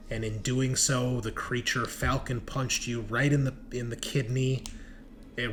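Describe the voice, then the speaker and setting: A man acting as game master, recapping a tabletop horror game in a dramatic, emphatic storytelling manner. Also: mid-range voice. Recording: noticeable background noise.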